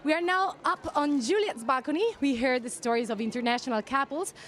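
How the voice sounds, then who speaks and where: A woman correspondent giving a scripted news report outdoors, with bright, clear delivery. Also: sits high, brisk. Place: outdoors in a city square.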